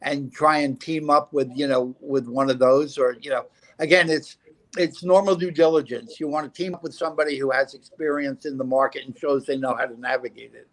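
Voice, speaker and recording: A man's voice: mid-range voice, steady tone, fairly quick, rough recording. A man answering as a film industry panelist, confident and advisory with a few fillers.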